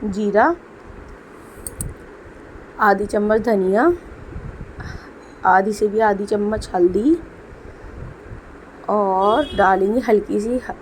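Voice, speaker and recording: A woman's voice: mid-range voice, slight background noise, low-quality recording. A young woman, a homemaker, narrating her kitchen routine in a chatty, explaining way, with a sore throat and a cough. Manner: chatty, explains as she works. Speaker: homemaker vlogger. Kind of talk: casual voice-over narration. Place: home kitchen.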